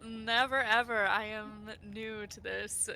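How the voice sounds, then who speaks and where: A woman with a creaky voice and a slow pace chats casually as a newcomer to a gaming group. She is easygoing and agreeable, with clear noise on the voice chat. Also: mid-range voice, fairly even intonation, bright, forceful tone. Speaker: new player in a gaming group.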